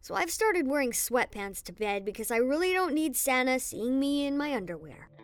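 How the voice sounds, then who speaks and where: Wry schoolboy diarist, played by a woman: high, animated, anxious, confiding complaints. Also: normal speed, soft background music. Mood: wry, uneasy. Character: wry, anxious schoolkid boy telling his diary. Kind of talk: first-person audiobook narration.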